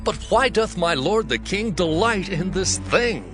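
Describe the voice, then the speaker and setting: A man's voice: high voice, lively intonation, measured pace, over music. An actor playing an earnest army captain counselling his king in a dramatized scripture, deferential yet urgent in formal archaic phrasing. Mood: earnest questioning.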